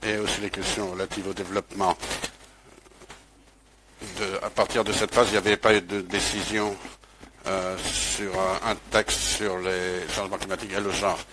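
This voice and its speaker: A man's voice: low voice, little pitch movement, moderate pace, noisy background. A man interpreting a webinar talk live, hesitant, with many fillers and restarts.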